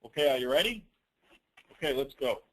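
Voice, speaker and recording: A man presenting a business training call as an informal, rambling software demo, with teasing, self-deprecating asides. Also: mid-range voice, expressive, a little fast, rough recording.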